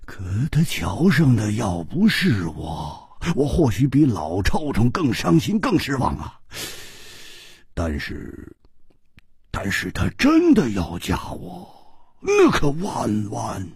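A man's voice: wildly swooping pitch, slow pace, gravelly, slightly rough, no echo, clean studio sound, full character voice. A man voicing a flustered, panicky young swordsman who stammers in comic distress. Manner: stammering, squealing high.

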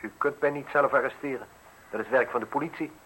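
A man's voice: medium pitch, fast talker, sharp tone, natural voice, poor audio. An actor in a radio play voicing a suspicious, wealthy man who questions tersely and with dry irony. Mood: indignant. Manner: terse, probing, drily ironic. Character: suspicious, curt, wealthy male villa owner, coolly sardonic. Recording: hissy old radio play recording.

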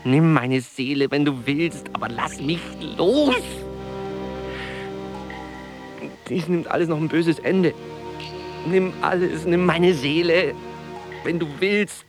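An actor playing a tormented, lonely old artist, brooding and lovesick, with halting, theatrical delivery in a literary radio play. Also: male voice, on the high side, natural voice. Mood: impassioned. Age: older. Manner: theatrical, halting, weighty delivery with repeated words. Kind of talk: literary radio drama monologue and dialogue. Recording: music playing behind the voice. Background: music.

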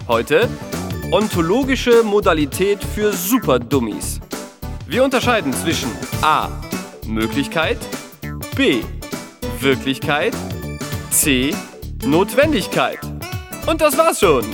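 Radio satire: flippant philosophy presenter, very lively sing-song lecturing, music underneath. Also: male voice, fairly high pitch, slow pace, long pauses. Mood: mock-cheerful lecturing. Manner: mock-cheerful, sing-song, didactic. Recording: music behind the voice.

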